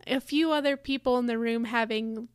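A soft-spoken woman co-hosting a podcast, talking thoughtfully in casual chat. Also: fairly high pitch, steady tone, moderate pace, smooth, dry recording, clean studio sound. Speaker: podcast co-host.

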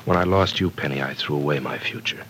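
A man's voice: very deep voice, fast, a bit bright, a little hiss or hum, poor audio. An actor playing a brooding, unhappily married young man in an old radio drama. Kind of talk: 1940s radio acting.

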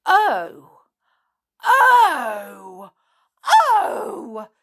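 A woman voicing a grumpy, excitable male rabbit who bursts out loudly. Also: medium pitch, measured pace, natural voice. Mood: indignant. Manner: blustering, loud outbursts.

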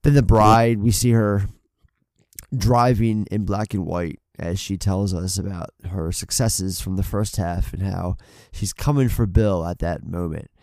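Podcast co-host, man, low voice, stuffy nose, loose and rambly, dry close sound. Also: fairly even intonation, a little slow, darkish tone, no echo. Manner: rambling, swears casually, many fillers and restarts. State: congested from a head cold. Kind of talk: casual film podcast chat. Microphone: desk mic on an arm.

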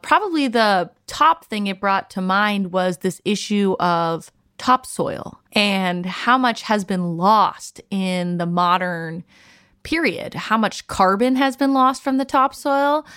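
A woman podcast host talks in a conversational way. Her voice sits at a middle pitch, and the recording is dry. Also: animated, slow pace, fairly bright tone, smooth, no room sound. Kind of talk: conversational discussion.

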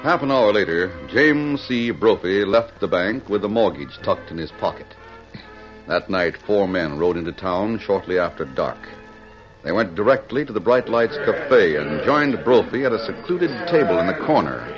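A man's voice: deep voice, lively intonation, moderate pace. A man narrating a western adventure in dramatic, scripted 1940s radio style. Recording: muffled old radio with music behind.

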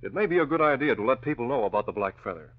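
An actor playing a calm, thoughtful newspaper boss with a measured, serious manner in vintage radio drama style. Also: male voice, mid-range voice, lightly acted. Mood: grave, thoughtful. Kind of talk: 1940s radio acting. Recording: dull vintage radio recording.

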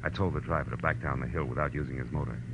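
An actor playing a tough, wry American private detective in 1940s radio style, narrating and trading punchy lines. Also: male voice, extremely low pitch, steady tone, rapid-fire, noisy background. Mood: wry, brisk. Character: wisecracking hard-boiled male private detective. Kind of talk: 1940s radio acting, first-person narration. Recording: muffled old radio broadcast with music.

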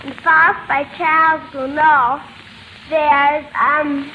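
A young girl of about five giving halting, stammering answers as a quiz contestant. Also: high voice, level tone, narrow, tinny audio. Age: child, about five. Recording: hissy old radio broadcast.

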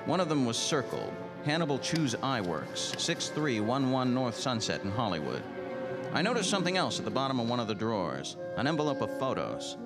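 A hardboiled American private eye played by a man tells his story in terse, slangy noir fashion. He sounds world-weary, in the manner of 1940s radio drama, with music clearly playing underneath. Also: medium pitch, sing-song, brisk. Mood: weary, brooding. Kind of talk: 1940s radio noir acting, narration and dialogue.

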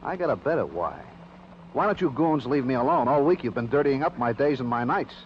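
A sarcastic, defiant projectionist played by a man in a vintage radio crime drama. His mid-pitched voice swings widely as he sneers and mocks. The old recording is muffled. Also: lively intonation, fairly quick, slight background noise, lightly acted. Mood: irritated, defiant. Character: surly, sarcastic, insolent male movie projectionist.

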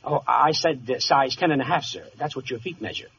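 A man's voice: medium pitch, fairly quick, fairly bright tone, close to an everyday voice. An actor playing a polite, hesitant young shoe salesman in an old radio comedy, always deferential. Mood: meek, hesitant. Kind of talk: 1940s radio comedy acting. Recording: muffled, faintly noisy old radio recording.

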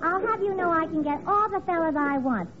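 A woman's voice: very high voice, animated, fairly quick. An actress playing a sassy, man-chasing cashier in a radio comedy. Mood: indignant, sassy. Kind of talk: 1940s radio comedy acting. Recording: tinny, very noisy old radio with audience.

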